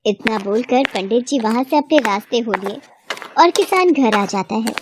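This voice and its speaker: A woman's voice: on the high side, expressive, normal speed, background noise. A woman narrator reading a scripted moral tale for children in a storytelling style.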